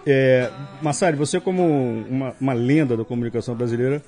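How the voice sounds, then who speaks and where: A man's voice: mid-range voice, fast talker, music in the background. A man with a Brazilian accent hosting live radio, reading listener emails aloud with jokey asides. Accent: Brazilian Portuguese. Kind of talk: casual live radio chat.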